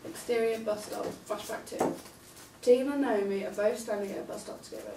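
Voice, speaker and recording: A woman reads out stage directions as a script narrator. Her voice is medium in pitch, heard away from the mic in a reverberant room with noticeable noise. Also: steady tone, a little fast, creaky. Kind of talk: scripted narration at a table read. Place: table read in a room. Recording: distant, noisy, echoey room recording.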